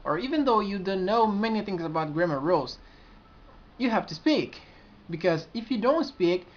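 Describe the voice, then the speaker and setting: A man's voice: high-pitched, expressive. A young man with a strong Brazilian accent speaks to his camera in a sincere, searching way. He stops and restarts his sentences often. The sound is muffled and lo-fi, as from a cheap camera's built-in mic. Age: 20s. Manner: earnest, halting, with frequent restarts. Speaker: community administrator. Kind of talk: casual vlog to camera. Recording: dull lo-fi camera audio.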